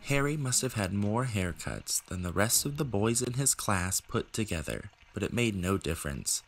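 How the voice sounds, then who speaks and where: A man's voice: fairly low pitch, lively intonation, normal speed, faint music behind, low-quality recording. A man reading a story aloud as narrator, in scripted storybook style. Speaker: narrator, amateur audiobook reader.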